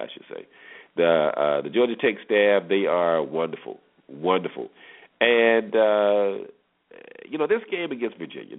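A man hosting a solo sports show, giving informal commentary and repeating phrases for emphasis. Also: deep voice. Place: solo internet radio show. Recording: muffled phone-like internet radio audio.

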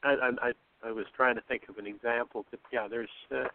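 Man, mid-range pitch, casual chat, hesitant word-searching, narrow telephone-like sound. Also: steady tone, brisk, vocal fry. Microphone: remote call. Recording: thin phone-like call audio.

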